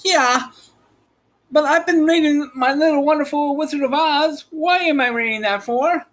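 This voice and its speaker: A man voicing a silly, cranky pet animal in a halting, playful chat. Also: very high-pitched, fairly bright tone. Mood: chatty, grumbling.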